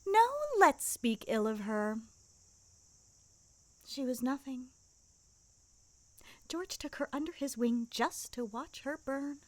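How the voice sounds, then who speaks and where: A woman's voice: sits high, expressive, takes it slowly, pauses often, a little mellow, no room sound. An actress playing a spiteful, devoted accomplice of a madman in an audio drama, venomous and defiant. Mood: scornful.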